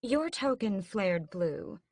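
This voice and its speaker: A woman's voice: mid-range voice, very animated, slow, darkish tone, no room sound, clean studio sound, voice pushed up, heavily acted. A woman voicing a haughty, scheming vampire queen mother in an audiobook, with a cool, mocking drawl. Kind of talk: audiobook character voice.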